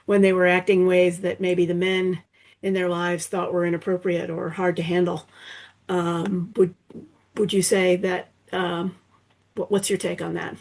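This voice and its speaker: A woman's voice: fairly low pitch, darkish tone. A woman taking part in a discussion as an audience member, phrasing her questions tentatively and thoughtfully. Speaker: audience participant asking questions. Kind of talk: casual spoken discussion. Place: virtual event.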